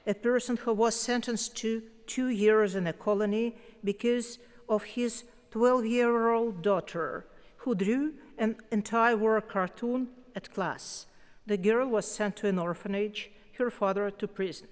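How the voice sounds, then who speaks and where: A woman with a Russian accent, a prize laureate giving a formal prepared acceptance speech in a slow, deliberate way with weighty pauses, in a large concert hall. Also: a little high, fairly even intonation, clean tone. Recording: long reverb of a concert hall.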